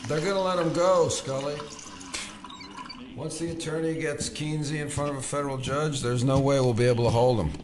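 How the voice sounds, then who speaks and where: An actor playing a skeptical, probing federal agent with a dry, wry manner in an audio drama. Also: male voice, fairly high pitch, fairly even intonation, brisk, a little mellow, a little hiss or hum, natural voice. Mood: wry, downbeat.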